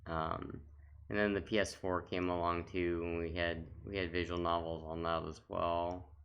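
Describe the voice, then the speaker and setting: A man's voice: low voice, level tone, normal speed, highs cut off, a little hiss or hum. A man giving casual, off-the-cuff commentary as a gaming video host, talking in a rambling, reminiscing way.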